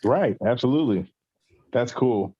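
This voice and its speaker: A man's voice: mid-range voice, lively intonation, unhurried, mellow, slightly rough. A man in a casual team meeting, chiming in with short, informal replies. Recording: dull lo-fi call audio.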